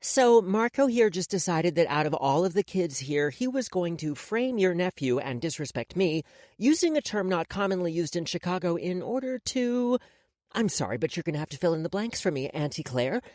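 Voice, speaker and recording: A woman's voice: lowish voice, sing-song, normal speed, darkish tone, no echo, studio quality. A woman playing a menacing, mocking, commanding woman in charge, as audiobook dialogue. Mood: cold, mocking.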